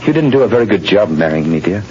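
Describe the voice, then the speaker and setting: A man's voice: fairly low pitch, big pitch swings, fast talker. An actor in an old radio drama playing an earnest, desperate unemployed family man, pleading his case. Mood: downcast, resolute. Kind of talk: 1930s radio drama acting. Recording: noisy, muffled old radio broadcast recording.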